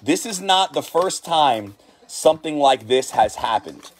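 A man hosting a card reveal from his shop, talking with excited hype and repeating his praise. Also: fairly high pitch, a little slow. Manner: hyped, repeats himself. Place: shop desk indoors.

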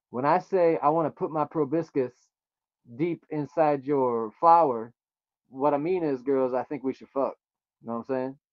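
A man's voice: medium pitch, dry recording, lo-fi sound. A man doing a prank call on a livestream, bantering in a flirtatious, crude, effusive and slangy way. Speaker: live streamer making a prank call.

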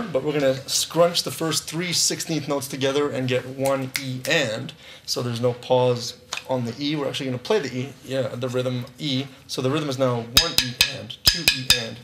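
A man's voice: mid-range voice, background noise. A man in his thirties teaching a live drum lesson on a studio stage, upbeat, counting rhythms aloud, and losing his voice.

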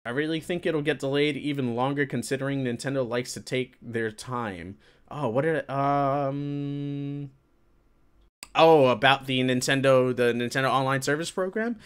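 Animated man chatting on a livestream. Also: mid-range voice, a little slow, smooth. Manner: animated, colloquial, reacting to chat. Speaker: livestream host. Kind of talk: casual chat with live viewers. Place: indoor room with green screen.

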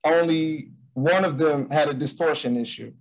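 A man's voice: a little high, normal speed, poor audio. Hesitant man presenting a student project. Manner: loose and hesitant, with many fillers and restarts. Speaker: bootcamp student presenting a capstone. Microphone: video call.

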